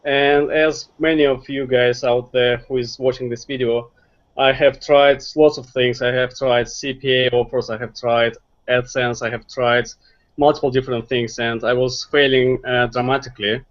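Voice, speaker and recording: A man's voice: medium pitch, little pitch movement, moderate pace, lo-fi sound. A man from Russia with a Russian accent, earnestly giving marketing advice as a guest in an online interview. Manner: earnest, advice-giving, some grammar slips.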